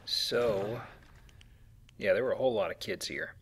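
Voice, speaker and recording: A man's voice: lowish voice, a little slow, vocal fry, fairly bright tone, breathy edge. A man hosting a Let's Play, explaining things in a casual, conversational commentary. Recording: faint background noise.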